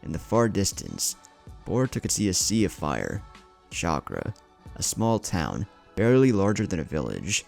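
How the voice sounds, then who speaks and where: Deep, gravelly male story narrator. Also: huge pitch swings, moderate pace, darkish tone, faint music behind. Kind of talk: scripted narration of fan fiction.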